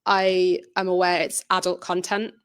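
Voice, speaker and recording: A woman with a lowish voice answers questions about her creative work in a conversational way. Her delivery stays very level and a little hesitant, qualifying thoughts as she goes. The sound is dry and close, with little room. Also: level tone, a little slow, dry recording. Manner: hesitant, self-reflective, trailing qualifications. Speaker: audio drama writer and presenter. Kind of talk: informal presentation Q&A, conversational answers.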